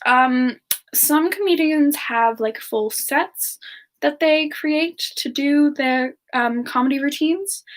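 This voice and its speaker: A woman voicing a gentle, polite female demon in a soft-spoken, hesitant semi-ASMR chat with viewers. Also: high voice, heavily acted. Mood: explaining, amused. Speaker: streamer answering viewer questions in character.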